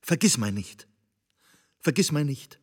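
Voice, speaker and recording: An actor reciting surreal poetic texts in a radio play. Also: male voice, medium pitch, very animated, measured pace, husky. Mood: incantatory. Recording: loud music behind the voice. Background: instrumental music.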